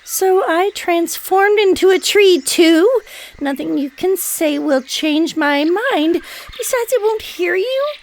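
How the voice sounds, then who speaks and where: A woman's voice: extremely high pitch, lively intonation, takes it slowly, exaggerated voice. A woman playing a meek, dutiful junior spaceship crew member in an audio drama, hesitant and deferential. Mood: searching, dismayed. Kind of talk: scripted audio drama acting.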